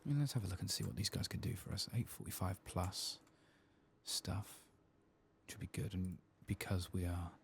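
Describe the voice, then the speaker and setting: Very deep, hushed ASMR man. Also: a little hiss or hum. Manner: hushed, reads quest text aloud slowly. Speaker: ASMR gaming streamer narrating a playthrough. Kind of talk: soft-spoken and whispered ASMR game commentary.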